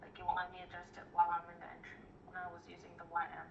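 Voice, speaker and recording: A woman's voice: on the low side, monotone, rapid-fire, creaky, slight background noise. A woman learning a trading setup, giving short, hesitant answers and questions over a call. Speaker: student. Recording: distant muffled call audio.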